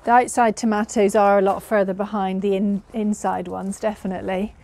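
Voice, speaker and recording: A woman's voice: medium pitch, level tone, fairly quick, a little mellow, a little hiss or hum. A woman in her thirties giving a casual walk-through tour of her home garden and greenhouse, chatty and hesitant with many fillers, recorded outdoors on a camera mic while she walks.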